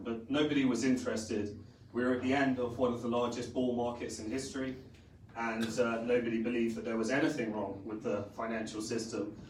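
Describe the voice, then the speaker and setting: Hoarse man speaking in an echoey hall. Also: medium pitch. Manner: rambling with many fillers. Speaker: conference speaker. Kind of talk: conference talk. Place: conference stage. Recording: echoey hall, distant mic, faint noise.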